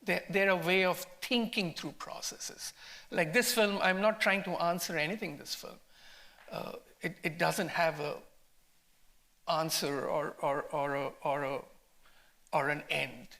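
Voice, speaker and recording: Bright, creaky, higher-pitched male filmmaker. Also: steady tone, measured pace. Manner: emphatic, reflective, repeats phrases. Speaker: film director and scholar. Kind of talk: unscripted panel answer.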